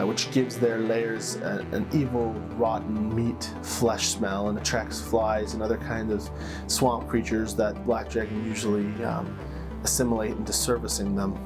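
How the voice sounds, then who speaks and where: A man hosting as a game master, narrating fantasy lore and story like a measured storyteller with dramatic pauses. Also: on the low side. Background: plucked guitar music.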